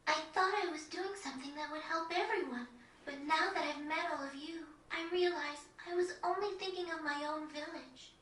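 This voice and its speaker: Sweet unsure village girl, really high bright voice, anime style game, echoey. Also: moderate pace, slight background noise. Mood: ashamed. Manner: soft-hearted, hesitant, sincere. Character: gentle, earnest, self-doubting teenage village girl. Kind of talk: video game cutscene acting. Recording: echoey, distant game audio.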